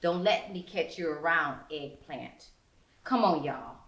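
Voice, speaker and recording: Menacing teen girl bully, voiced by a woman reader: bossy, curt, threatening, bright edge, roomy sound. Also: deep voice, animated, measured pace, muffled recording, voice pushed down.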